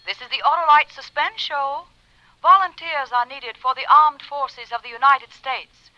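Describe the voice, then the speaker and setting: A woman's voice: high voice, normal speed. A woman announcing in the scripted manner of a 1940s radio sign-off. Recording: dull, lo-fi old radio recording.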